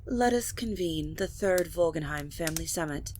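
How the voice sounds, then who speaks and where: A woman playing a composed, regal vampire matriarch, speaking formally and with measured authority in an audio drama. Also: low voice, lively intonation, a little mellow, slight background noise. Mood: solemn, commanding. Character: stately, composed vampire matriarch presiding over her family. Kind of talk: dramatic audio roleplay acting.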